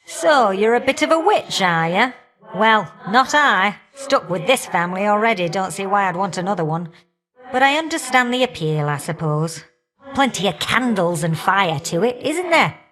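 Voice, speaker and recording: A woman's voice: mid-range voice, animated, moderate pace, fairly bright tone, lightly acted. Woman doing a fiery older goddess for a game, cheeky and folksy, teases you fondly. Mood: wry, grumbling. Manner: folksy, teasing, affectionate endearments and colloquial turns of phrase. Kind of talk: video game character voice acting.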